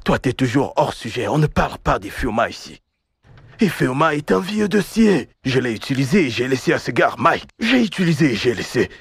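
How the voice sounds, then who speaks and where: An actor dubbing a boastful, scornful young man who shouts insults. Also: medium pitch, sing-song, a little fast, creaky, slightly rough, no room sound, full character voice. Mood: dismissive.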